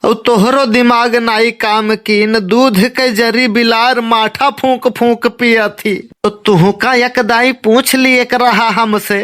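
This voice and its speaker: Brief: male actor as exasperated cartoon shopkeeper dad, Awadhi accent, high bright voice, slow complaining delivery. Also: fairly even intonation, heavily acted. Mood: scolding. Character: scolding village shopkeeper father, exasperated with his son. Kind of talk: amateur comic cartoon dub in dialect.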